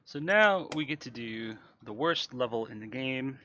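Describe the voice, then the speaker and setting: A man giving live, explanatory commentary on a video game as he plays, often pausing with uhs. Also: mid-range voice, expressive, bright, forceful tone, lo-fi sound, slight background noise. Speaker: speedrunner playing and commentating. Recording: game music and vehicle sounds behind. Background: video game music and car engine sounds.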